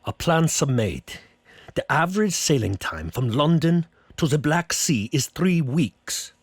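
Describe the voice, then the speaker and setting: An actor playing a grave, commanding older doctor and vampire hunter in a radio horror drama, with a slow, solemn delivery and a husky voice. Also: male voice, fairly high pitch, very animated, no echo, clean studio sound, heavily acted. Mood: solemn, urgent. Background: soft background music.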